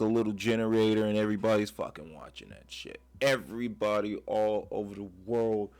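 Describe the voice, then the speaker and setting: A man who is sick with a raspy voice, hosting his channel and ranting in a casual, profane way from his man cave at home. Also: lowish voice. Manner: profane, heated rant. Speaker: channel host.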